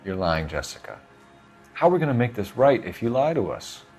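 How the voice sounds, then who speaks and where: An actor playing an earnest male police detective who questions people in a firm, measured way. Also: medium pitch, very animated, darkish tone, faint music behind. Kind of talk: video game voice acting.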